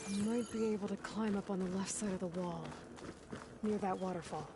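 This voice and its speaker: A woman's voice: mid-range voice, steady tone, a little fast, heavy background noise, lightly acted. A woman acting a determined, resourceful young adventurer in a video game, focused and matter-of-fact as she thinks aloud. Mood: musing. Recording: loud music behind the voice.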